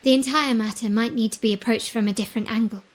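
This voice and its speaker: Casting: actress as shrewd young mafia heiress sleuth for a game, fairly high voice, formal and composed. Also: female voice, steady tone, fast, lightly acted. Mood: composed, analytical. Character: poised, shrewd young noblewoman investigator of crime family. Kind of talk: video game voice acting.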